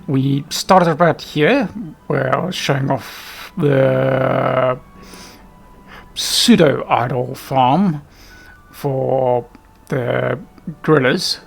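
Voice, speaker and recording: Slow, creaky-voiced man narrating gameplay. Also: medium pitch, a little hiss or hum. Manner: hesitant, rambling, often trailing off. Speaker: gaming video host. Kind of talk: casual unscripted gameplay commentary.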